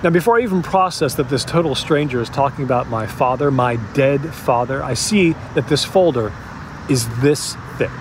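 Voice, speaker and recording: An actor playing an agitated man who recounts a tense encounter in a halting, emphatic, conversational audio drama monologue. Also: medium pitch, background noise, close to an everyday voice. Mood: uneasy, intent.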